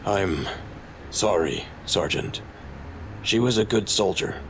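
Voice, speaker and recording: A man's voice: fairly low pitch, slow, background noise. An actor playing a solemn, kind, fatherly senior officer in an audio drama. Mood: consoling.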